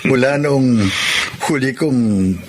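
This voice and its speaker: A man acting a kindly, lonely old widowed grandfather with a Filipino accent and a halting, wistful manner in an audio drama. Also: mid-range voice, a little mellow, slight background noise, close to an everyday voice. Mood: warm, reflective.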